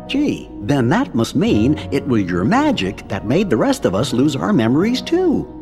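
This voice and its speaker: Goofy, wildly swooping cartoon fellow. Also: male voice, medium pitch, huge pitch swings, normal speed. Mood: curious. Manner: folksy, gentle, bumbling. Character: folksy, curious, kindly goofy cartoon fellow. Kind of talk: animated game cutscene acting. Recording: long reverb, music behind.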